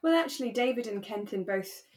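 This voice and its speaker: A woman's voice: medium pitch, animated, a little fast. A woman co-hosting a podcast, chatting casually and reflectively about a radio drama. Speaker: podcast co-host.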